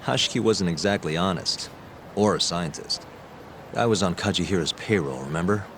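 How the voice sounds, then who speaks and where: A man playing a composed, sharp young private detective, speaking in a measured, probing and dry way in a game cutscene. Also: low-pitched, slight background noise. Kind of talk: video game cutscene acting.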